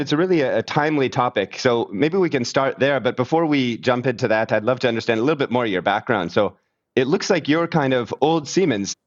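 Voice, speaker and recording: A man's voice: mid-range voice, dry recording, lo-fi sound. A man hosting an interview podcast, talking casually with many fillers. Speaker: podcast host.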